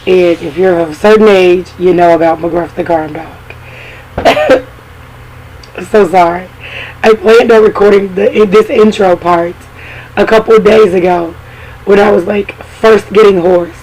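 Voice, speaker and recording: A hoarse woman with a cold hosting a podcast in casual, chatty talk full of fillers and asides. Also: lowish voice, a little slow, a little mellow, a little hiss or hum, low-quality recording. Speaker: podcast host.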